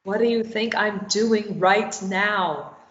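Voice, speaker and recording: A woman's voice: medium pitch, slow pace, fairly bright tone. Captive heroine, played by a woman: sarcastic, snappy, desperate, stubborn. Dull, echoing room recording. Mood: defensive. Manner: snappy, argumentative. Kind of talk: script table read. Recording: roomy, dull lo-fi sound.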